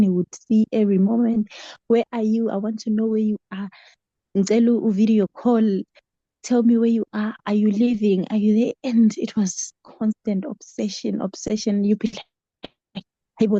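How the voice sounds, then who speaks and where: A woman's voice: mid-range voice, level tone, moderate pace, warm tone, dry recording. South African woman storyteller, dry muffled sound. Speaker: book club member sharing a story. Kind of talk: casual personal storytelling.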